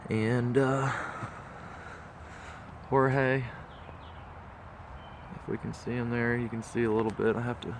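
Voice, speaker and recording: A man's voice: mid-range voice, little pitch movement, takes it slowly, pauses often, noisy background. A man with a stuffed-up nose, talking casually and rambling with lots of fillers. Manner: laid-back, rambling with many fillers. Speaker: diecast model collector vlogger. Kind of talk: casual walkthrough talk.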